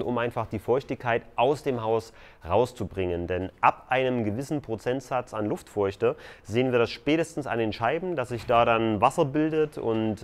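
A man on a tiny house building site, explaining construction steps in a loose, conversational way. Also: medium pitch. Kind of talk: casual explanatory vlog.

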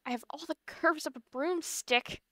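A woman's voice: sits high, moderate pace, sharp tone, no echo, clean studio sound. A woman playing an insecure, moody teenage girl who runs herself down, in a comic fan dub. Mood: upset.

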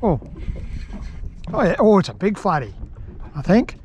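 A man's voice: high-pitched, big pitch swings, a little slow. A middle-aged man giving casual, chatty commentary while fishing from a small open boat outdoors, filmed on an action camera. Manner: chatty, coaching, hushed asides. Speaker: fishing vlogger. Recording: muffled with loud wind and water noise.

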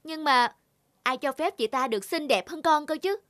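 A woman's voice: sits high, lively intonation, normal speed, a bit bright, no echo, clean studio sound. A woman voicing a spoiled, jealous, rude young stepsister in a children's cartoon, whiny and snappish. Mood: jealous, annoyed.

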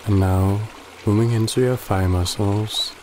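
A man guiding a body scan meditation in a calm, gently instructive way with long pauses. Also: fairly low pitch, slight background noise, poor audio. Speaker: meditation guide. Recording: loud running water behind the voice. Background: trickling stream water ambience.

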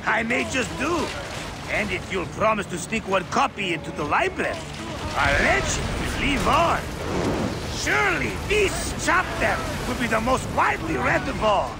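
An actor voicing a gruff old sea captain in a video game, bellowing and florid, over loud music and battle at sea. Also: male voice, very high-pitched, sing-song, moderate pace, exaggerated voice. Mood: wistful, jovial. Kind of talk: video game dramatic acting.

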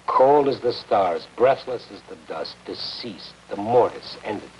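A man's voice: on the low side, lively intonation, measured pace. Hard-boiled, sardonic American newsman. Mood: cold, sardonic. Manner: terse, sardonic, blunt. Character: hard-boiled, sardonic male newspaper reporter. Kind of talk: 1950s TV drama acting. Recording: noisy old 1950s television soundtrack.

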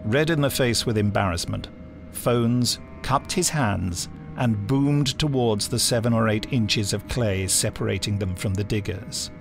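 Gravelly male adventure story narrator. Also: lowish voice, unhurried, music in the background. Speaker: audiobook narrator. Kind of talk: scripted dramatic story narration.

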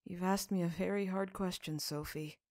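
A woman voicing a gentle, weary, earnest father in a story reading, speaking in a measured way. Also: lowish voice, fairly even intonation, no room sound, studio quality, close to an everyday voice. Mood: grave.

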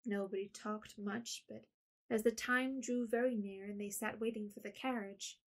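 Expressive woman narrating a story. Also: medium pitch, normal speed.

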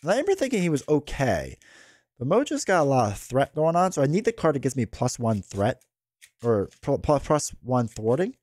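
A man in his thirties hosts in a relaxed, rambling way, and his voice is thick and stuffed up from a head cold. His pitch rises and falls a great deal as he chats through a card game, sitting close to a boom mic in a dry-sounding home studio. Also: a little fast, dry recording. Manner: chatty, rambling with fillers and restarts. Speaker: card game channel host. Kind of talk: casual gameplay commentary. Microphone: boom mic close to the mouth.

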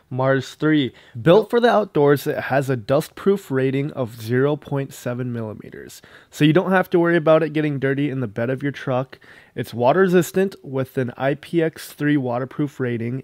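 Young guy doing an ad read outside, dry sound, slightly high voice, eager. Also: a little mellow, dry recording. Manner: eager product pitch, pointing out features. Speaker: sponsor presenter. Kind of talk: scripted sponsor read. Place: outdoors on a grassy lawn.

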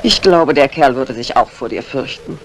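An actress playing a brisk, dry-witted, commanding mistress of the house in a 1950s German radio mystery. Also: female voice, extremely low pitch, lively intonation. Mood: wry, curious. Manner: composed, commanding, wry. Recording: noisy old 1950s radio recording.

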